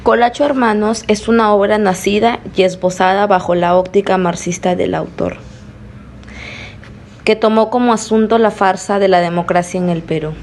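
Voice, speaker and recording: A woman's voice: mid-range voice, steady tone, moderate pace, darkish tone, slight background noise. Young woman reading reflective commentary. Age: young adult. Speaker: student presenter.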